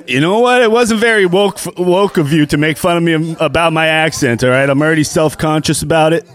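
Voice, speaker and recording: A man's voice: on the high side, a little fast. A man co-hosting a comedy podcast, joking wryly in casual chat and swearing now and then. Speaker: podcast co-host.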